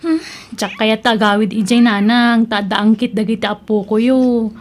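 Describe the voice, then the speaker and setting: A woman's voice: a little high, flat delivery, normal speed, close to an everyday voice. A woman acting an apologetic young daughter-in-law in an Ilocano radio drama, defensive and pleading. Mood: explaining. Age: young adult.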